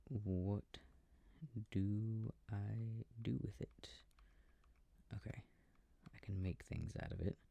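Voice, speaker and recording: A low, gravelly male actor's take on a boyfriend murmurs his way through a video game in an intimate sleep-aid roleplay. He sounds affectionate and easily confused, talking slowly and casually to himself. The recording is close and very dry. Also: mellow, no room sound, heavily acted. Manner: muttering to himself, hesitant, casual. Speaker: audio roleplay performer. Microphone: close microphone, dry. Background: controller clicking sounds.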